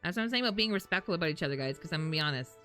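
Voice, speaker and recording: A woman's voice: lowish voice, animated, rapid-fire, pushed and bright, faint music behind. A woman live streamer chatting informally and slangily with her audience while reacting to a game. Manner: informal, slangy, talking to chat.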